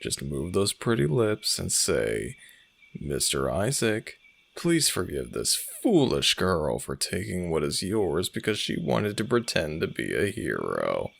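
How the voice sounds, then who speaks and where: A man playing a smug, flirtatious male werewolf alpha with a low gravelly voice, in a slow, teasing, theatrical romantic audio roleplay. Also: wildly swooping pitch, heavily acted. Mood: mocking, teasing.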